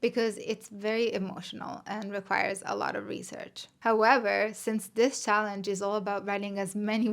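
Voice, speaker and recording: Chatty Italian woman with a gravelly edge. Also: medium pitch. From Italy. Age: 30s. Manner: chatty, candid and self-deprecating. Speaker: vlogger. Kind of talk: casual chat to camera.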